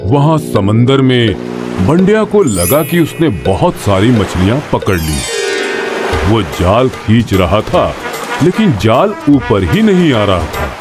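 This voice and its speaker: A man's voice: mid-range voice, very animated, slow pace. A man narrating a scripted moral story as a storyteller. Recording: music bed under the voice.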